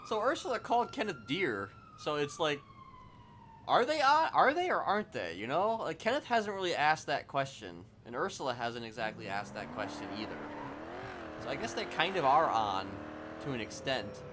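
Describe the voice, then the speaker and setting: A male game streamer talks casually over clear vehicle noise. His pitch swings widely as he muses aloud. He rambles and repeats himself, as if thinking through things live on stream. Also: big pitch swings, moderate pace.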